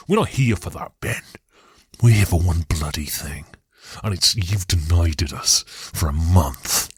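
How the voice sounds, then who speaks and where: Slow, husky male heavy, acted by a podcaster, gruffly threatening and demanding on behalf of impatient fans. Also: on the low side, a bit bright, no echo, voice pushed down. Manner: slow, deliberate, threatening repetition. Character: menacing male spokesman for impatient, demanding listeners. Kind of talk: comic podcast skit.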